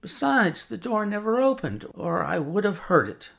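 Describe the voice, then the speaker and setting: A man's voice: high-pitched, sing-song, normal speed, vocal fry, a bit bright, voice pushed up, heavily acted. A man voicing a respectful young female housemaid who answers with firm deference.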